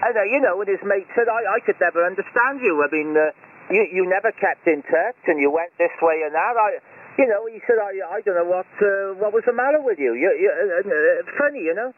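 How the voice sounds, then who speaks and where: A man's voice: high-pitched, animated, a little fast. A man chatting casually over amateur radio in a rambling, hesitant way. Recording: tinny ham radio signal with hiss. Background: radio hiss.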